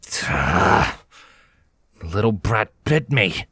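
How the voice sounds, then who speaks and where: A gruff pony soldier as a male storyteller plays him, low and grumbling. He is irritable and impatient yet deferential, hissing his words in a dry-sounding storybook reading. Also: very animated, extremely slow, bright, forceful tone, no room sound, heavily acted. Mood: angry. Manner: low angry hiss, grumbling. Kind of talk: storybook character voice in fan fiction reading.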